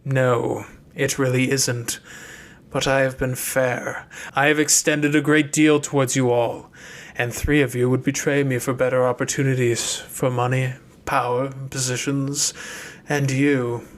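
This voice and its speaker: An audiobook character played by a male reader: a stern mage who speaks in a measured, formal way. He is cold, controlled and accusing, and never yields. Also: mid-range voice, level tone, lightly acted. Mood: hard, formal.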